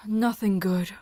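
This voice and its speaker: A woman's voice: medium pitch, a little mellow, no echo. A woman playing a weary, sympathetic comrade, casual and a little hesitant, in a conversational audio drama.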